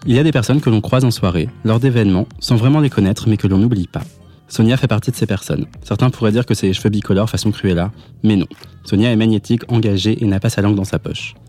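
A man's voice: on the low side, fast, mellow, faint music behind. A man around thirty hosting a podcast interview in a casual, conversational way. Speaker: podcast host.

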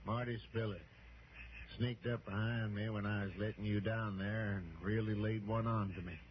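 A male actor plays a loyal, down-to-earth partner in an old radio detective show. His voice sits a little low and his phrasing is folksy, under clear hiss and dull vintage sound. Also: little pitch movement, normal speed, close to an everyday voice. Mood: easygoing, wry. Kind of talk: 1960s radio drama acting.

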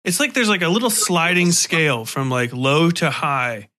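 A man's voice: fairly high pitch, very animated, normal speed, sharp tone. A man hosting a podcast, chatting casually and asking informal, jokey questions. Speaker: podcast host, interviewer. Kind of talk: casual interview chat.

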